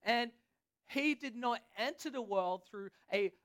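A man's voice: very high-pitched, fairly bright tone. An Australian man gives a sermon in an easygoing, conversational manner. He talks in short bursts, leaving room after each one for an interpreter. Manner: casual, chatty, pauses for translation. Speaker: pastor.

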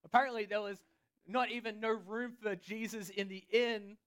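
A man's voice: high voice, fairly even intonation, a bit bright, clear, resonant tone. An Australian man gives a sermon in an easygoing, conversational manner. He talks in short bursts, leaving room after each one for an interpreter. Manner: casual, chatty, pauses for translation. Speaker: pastor.

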